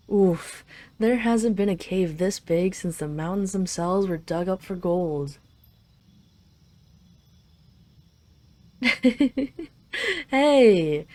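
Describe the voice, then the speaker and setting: Mellow, low-voiced dragon queen in love, acted by a woman. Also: close to an everyday voice. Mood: playful, smug. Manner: teasing, tender, lingering delivery. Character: possessive, teasing, doting dragon queen in love. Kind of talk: intimate roleplay audio acting.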